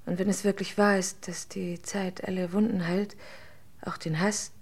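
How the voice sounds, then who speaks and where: An actress playing a resigned, sorrowful wife with a breathy, half-whispered voice in a 1960s German radio play. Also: female voice, lowish voice, little pitch movement, normal speed, darkish tone.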